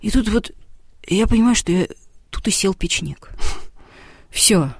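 Lively woman telling a story. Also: mid-range voice, a little slow, vocal fry, slightly rough, no echo, studio quality. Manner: lively storyteller who acts out quoted dialogue. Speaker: guest, television documentary maker. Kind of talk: storytelling in a talk show.

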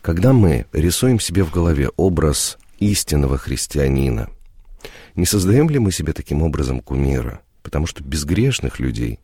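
Man, extremely deep voice, nasal with a cold, radio host, theatrical phrasing, dry studio sound. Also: very animated, normal speed, warm tone, husky, no room sound. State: sick with a cold. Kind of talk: unhurried radio presenting and studio chat. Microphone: studio microphone.

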